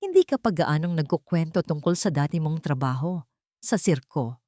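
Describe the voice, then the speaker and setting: A guarded, troubled father speaking flatly and firmly, as a woman narrator reads his lines. The voice stays low and dry, held back. His words carry suspicion without showing feeling. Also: moderate pace, no echo. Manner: flat, then firm and guarded.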